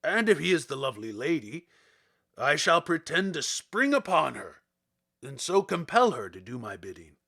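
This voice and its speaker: Man playing a blustery, high-pitched cowardly lion. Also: very animated, moderate pace, creaky, pushed and bright, heavily acted. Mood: blustering. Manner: emphatic, theatrical. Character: cowardly lion, blustering, boastful yet afraid.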